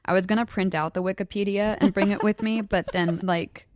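A young woman from Southeast Alaska, a podcast guest chatting casually and excitedly, often laughing as she tells stories. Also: mid-range voice, fairly quick, no room sound, clean studio sound. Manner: excited, storytelling, breaks into laughter. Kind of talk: casual chat.

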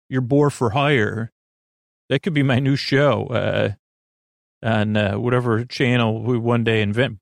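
Creaky-voiced man rambling soothingly. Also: mid-range voice, no echo, studio quality. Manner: hesitant, digressive, drawn-out soothing delivery. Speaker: podcast host. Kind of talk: rambling, meandering sleep podcast monologue.

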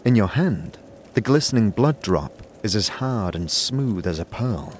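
Low-voiced, animated male story narrator. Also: measured pace, a little hiss or hum. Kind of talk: scripted second-person story narration.

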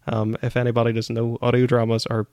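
A man's voice: medium pitch, monotone, fast talker, darkish tone, no echo, clean studio sound. A man co-hosting a podcast, giving his opinions and recommendations in casual chat. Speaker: podcast co-host.

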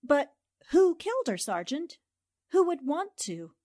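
Woman doing an old-time radio girl reporter, high lively voice, eager and polite, dry close sound. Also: unhurried, no echo. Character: quick-witted woman newspaper reporter. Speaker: newspaper reporter. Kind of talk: 1940s radio drama acting.

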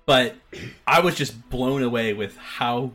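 A man's voice: mid-range voice, faint music behind. A man hosting a podcast, chatting with enthusiasm and laughing often. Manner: enthusiastic, animated, quick to laugh. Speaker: podcast host. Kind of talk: casual chat.

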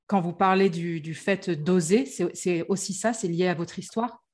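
A woman hosting a podcast interview, friendly and quick to correct herself mid-question. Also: on the low side, darkish tone. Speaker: podcast host.

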